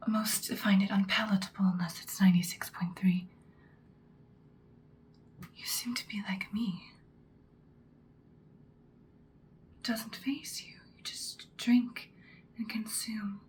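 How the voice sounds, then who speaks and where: A woman playing a commanding female vampire mentor in a whispered ASMR roleplay, speaking softly, breathily and deliberately. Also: mid-range voice, natural voice. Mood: hushed, intrigued. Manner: slow, deliberate, instructing, ominous. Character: ancient-seeming female vampire mentor, commanding, once ruthless, now good.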